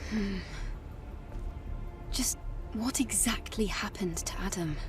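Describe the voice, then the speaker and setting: Actress doing determined young warrior woman in a game, terse, medium pitch, music loud behind her. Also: slow, recorded from a distance, lightly acted. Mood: guarded, resolute. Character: determined, guarded young female warrior heroine. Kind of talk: dramatic video game acting. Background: prominent music.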